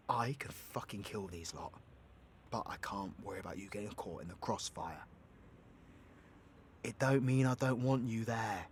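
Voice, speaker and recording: A man's voice: mid-range voice, a little hiss or hum, natural voice. A British man playing a cocky, foul-mouthed but protective supervillain in an audio roleplay, with brash, teasing, slangy delivery. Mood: firm, protective. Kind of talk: audio roleplay acting addressed to the listener.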